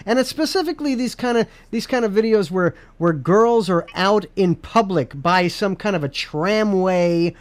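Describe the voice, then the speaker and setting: High, smooth-voiced man rambling jokingly. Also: lively intonation, normal speed, few pauses. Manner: rambling, joking, many restarts. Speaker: podcast host. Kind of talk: casual comedic chat.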